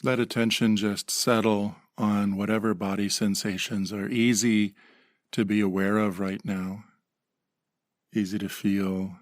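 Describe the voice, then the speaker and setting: A man leading a guided meditation in a gentle, unhurried manner with pauses, recorded close to the microphone. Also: on the low side, level tone, no echo. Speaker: meditation teacher.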